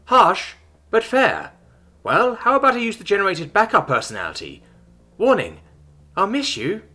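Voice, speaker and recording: A man's voice: high voice, huge pitch swings, normal speed, bright, forceful tone, pitched above the performer's own voice, heavily acted. A man voicing a laid-back robot nurse android that talks in surfer slang. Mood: chill bro. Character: cheerful bro-talking robot male nurse android.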